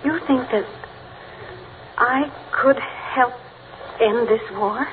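A woman playing a genteel grieving widow in 1940s radio drama, speaking in a measured, formal way. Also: a little high. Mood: solemn, wounded. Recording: tinny, band-limited old radio with faint noise.

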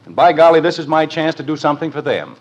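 Muffled old radio, fed up american dad, middle aged, sarcastic, voice swings all over. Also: male voice, a little high, lively intonation, fast talker. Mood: decisive, eager. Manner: dry, sarcastic, exasperated. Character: exasperated, sardonic middle-aged American father. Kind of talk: 1950s American radio sitcom acting.

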